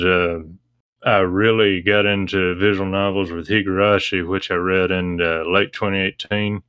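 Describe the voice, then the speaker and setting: A man's voice: deep voice, sharp tone, clean tone, dry recording. A man chatting casually as an interview guest, explaining things in a hesitant, rambling way. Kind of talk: casual interview chat about visual novels.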